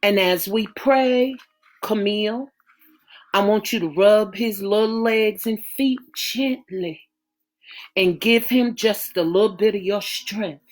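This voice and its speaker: A woman's voice: mid-range voice, slow pace, faint music behind, close to an everyday voice. Woman doing a soothing Southern matriarch. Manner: soothing, coaxing, Southern dialect. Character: calm loving older mother and matriarch.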